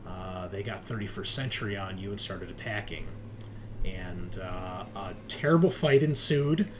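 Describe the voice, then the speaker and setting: Low-voiced man narrating as game master. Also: a little slow. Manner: conversational, improvised storytelling. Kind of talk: recapping and narrating a tabletop roleplaying session. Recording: clear background noise.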